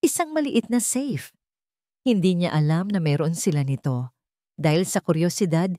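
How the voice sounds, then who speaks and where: Female story narrator, lowish pitch, emotive and suspenseful scripted delivery, dry close recording. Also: dark tone, no room sound.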